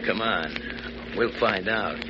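An actor playing a rough, confident pal who talks in clipped slang, in a 1940s radio serial. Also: male voice, fairly low pitch, slow pace. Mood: alert, confident. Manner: slangy, clipped tough talk. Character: rough, breezy, confident male tough-guy pal. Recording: noisy muffled old radio recording.

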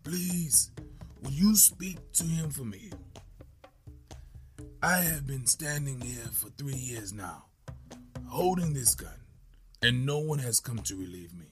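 A man playing a desperate, hushed guard. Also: medium pitch, lively intonation, slow pace, long pauses, soft background music, pitched above the performer's own voice. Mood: pleading. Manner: barking then hushed and secretive. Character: tired, desperate palace guard, barking then whispering.

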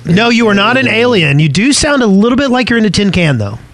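A man's voice: high voice, sing-song, fast, fairly bright tone. A man co-hosting a casual podcast, making dry, wry remarks. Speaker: podcast co-host.

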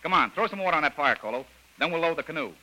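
Casting: commanding jungle hero, quick-talking, slightly high bright voice, old radio serial sound. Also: male voice, lively intonation, poor audio. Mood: busy, good-natured. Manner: brisk, take-charge. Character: Jungle Jim, brisk commanding adventurer hero, man. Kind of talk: 1930s radio serial acting. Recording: old radio recording with faint noise.

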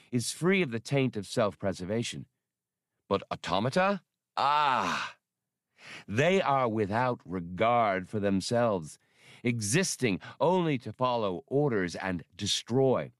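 A man's voice: fairly low pitch, a bit bright, no room sound, studio quality. A man voicing a powerful male official who is smug, chatty and condescending, a coldly dangerous audiobook villain.

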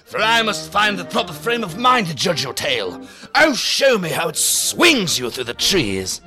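A man's voice: high-pitched, wildly swooping pitch, normal speed, sharp tone, faint music behind, full character voice. An actor playing a deranged, theatrical male mental patient who rambles in florid sing-song riddles in an audio drama. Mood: manic, theatrical.

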